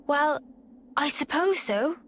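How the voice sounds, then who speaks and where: A woman playing a gentle, thoughtful young woman in a video game, speaking carefully and tactfully. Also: sits high, lively intonation, takes it slowly, a little hiss or hum, heavily acted. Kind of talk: video game cutscene acting.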